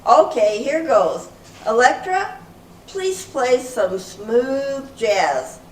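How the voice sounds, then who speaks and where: An earnest, excitable female group leader, played by a woman reading on stage, speaks slowly in a slightly high voice. The room rings around the words. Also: full character voice. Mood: flustered, insistent. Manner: earnest, presenting. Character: eager, easily flustered female group leader. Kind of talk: amateur readers theater. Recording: echoey room from far off, faint noise.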